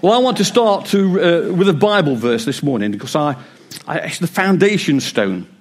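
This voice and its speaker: A man preaching a sermon in church, a bit croaky, with a joking, warm delivery. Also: on the high side, very animated, moderate pace. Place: church hall with congregation. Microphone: wireless mic.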